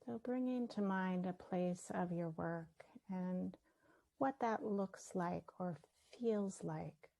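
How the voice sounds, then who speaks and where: A woman leading a guided meditation, speaking gently and leaving long pauses between her phrases. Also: lowish voice, creaky. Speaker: meditation teacher.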